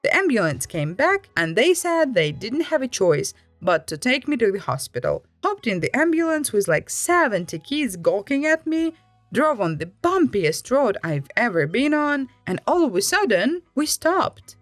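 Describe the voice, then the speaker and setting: A woman reading an online story aloud in an animated way, letting her pitch swoop up and down for emphasis. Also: normal speed, no room sound, faint music behind. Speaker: story reader.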